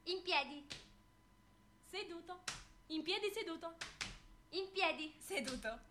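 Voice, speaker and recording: Woman acting a very high, stern drill voice. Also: animated, slow, exaggerated voice. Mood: stern, mechanical. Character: stern female drill voice barking repetitive orders. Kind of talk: theatrical chanted commands. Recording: noisy, clicking live stage recording.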